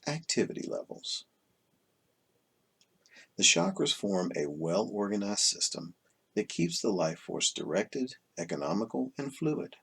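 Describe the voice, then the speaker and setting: A man reading a self-help book aloud as scripted narration, in measured, deliberate phrases with pauses between them. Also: fairly low pitch, sing-song, creaky, a bit bright, husky. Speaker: audiobook reader.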